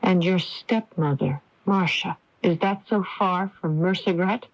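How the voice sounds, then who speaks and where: An actress playing an earnest, fearful young heroine, dramatic and breathless, in an old radio drama. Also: female voice, fairly low pitch, unhurried. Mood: urgent, fearful. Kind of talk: 1980s radio drama acting and narration. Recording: dull lo-fi old radio broadcast.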